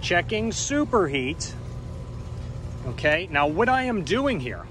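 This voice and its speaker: Hvac guy in his 30s walking you thru it outside, fairly high voice, keeps saying okay?, bit of street noise. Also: sing-song, slow pace. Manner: explains step by step, keeps checking in with "okay?". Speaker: HVAC technician. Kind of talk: hands-on how-to explanation. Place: outdoors by an air conditioning unit in a yard. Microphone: camera mic, handheld or on a tripod.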